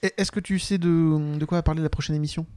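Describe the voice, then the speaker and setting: A man's voice: on the high side, fairly even intonation, fast, darkish tone, clean tone. A man hosting a casual podcast, questioning his guests in an encouraging, complimentary way. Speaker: podcast host.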